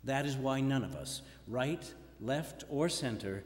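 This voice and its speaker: A man reading a written speech aloud in a formal, measured, oratorical way. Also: medium pitch, animated. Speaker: reader in a staged performance. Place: large indoor hall or theatre. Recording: echoey hall with long reverb.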